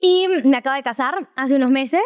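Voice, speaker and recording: A woman's voice: high-pitched, a little fast. A young woman co-hosting a podcast, chatting casually and telling a story with frequent laughs. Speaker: podcast co-host.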